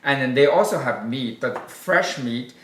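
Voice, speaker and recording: A man's voice: medium pitch, lively intonation, measured pace, a bit bright, reverberant, poor audio. Chinese-accented man hosting with many fillers. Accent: Mandarin Chinese. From northern China. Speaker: host. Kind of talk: casual chat.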